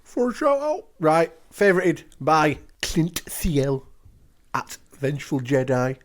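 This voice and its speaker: A man from England with an English accent, co-hosting a podcast in casual banter and reading out shout-outs with dry, teasing humour. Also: fairly high pitch, big pitch swings, slow pace. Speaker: podcast co-host.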